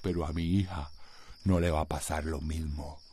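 A man's voice: very deep voice, fairly even intonation, moderate pace, dark tone, husky. An actor with a Costa Rican accent playing a drunk, menacing father and husband in a radio soap opera, slurring and bullying. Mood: belligerent.

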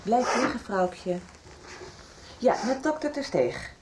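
Unhurried, businesslike woman taking charge, noisy scene. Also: fairly high pitch, big pitch swings. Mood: calm urgency. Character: brisk, calming woman arranging emergency admission by phone. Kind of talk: radio drama dialogue.

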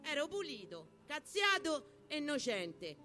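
High bright voice, Roman accent, dramatic spoken-word poetry, emphatic storytelling, faint guitar backing. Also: very animated, measured pace. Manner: theatrical, emphatic storytelling in rhymed verse. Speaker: poetry performer. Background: guitar music.

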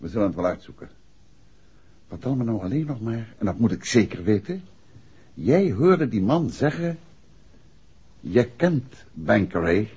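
An actor playing a calm, probing gentleman detective in a 1960s Dutch radio drama. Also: fairly low pitch, unhurried, pauses often, husky, full character voice. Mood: reassuring, firm. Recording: dull 1960s radio recording.

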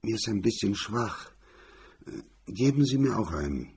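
A cornered, weakened lawyer in a crime story, voiced by a man reading. He sounds sulky and resigned, with a dull delivery. The voice is dark and heavily husky. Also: fairly low pitch, big pitch swings, unhurried, exaggerated voice. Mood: weak.

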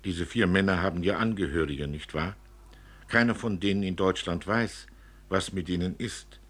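A man giving an expressive reading of literary prose, as an author reading from his own novel. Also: deep voice, normal speed.

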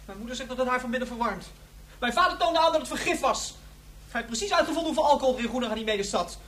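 A woman's voice: on the high side, expressive, quick pace, fairly bright tone, slight background noise. A woman playing a cocky know-it-all young schoolboy bully, taunting and boastful in a Dutch radio drama.

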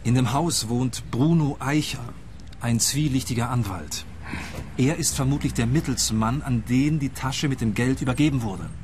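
A man's voice: medium pitch, normal speed, a little mellow, slight background noise, close to an everyday voice. A man playing a pushy, bumbling detective. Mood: earnest. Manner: earnest and pushy. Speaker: private detective. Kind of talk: radio play acting.

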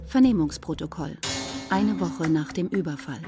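A woman's voice: fairly low pitch, normal speed, natural voice. A woman playing an impersonal official announcer in a radio play, reading titles in a clipped, formal way. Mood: detached. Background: clear music.

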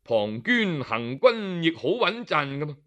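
A man voicing a shrewd, calm male military strategist in a traditional storytelling recording, speaking in a measured, deliberate way. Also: a little high, pitched below the performer's own voice. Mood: confiding.

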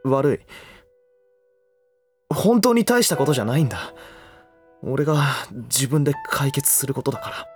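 A man's voice: mid-range voice, big pitch swings, normal speed, long pauses, darkish tone, soft background music. A man playing a brash, earnest, conflicted young man in a Japanese voice drama, speaking bluntly and casually. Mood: guarded, subdued. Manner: rough, blunt casual speech.

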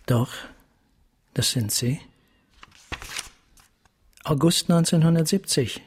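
An actor playing a middle-aged, grieving and vengeful police captain in a radio drama, formal, bitter and menacing. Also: male voice, fairly high pitch, slow, a little hiss or hum. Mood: accusing.

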